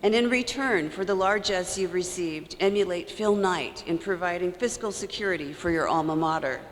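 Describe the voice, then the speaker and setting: A woman's voice: fairly low pitch, moderate pace. A woman student delivering a formal prepared speech to donors, earnest with wry humor. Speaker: student speaker. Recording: reverberant hall with faint room noise.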